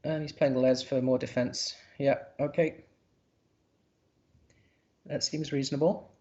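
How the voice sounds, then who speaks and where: Man, live game commentator, gravelly voice, muffled lo-fi stream sound, hesitant musing delivery. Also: mid-range voice, level tone, unhurried, darkish tone. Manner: thinking aloud, hesitant, musing.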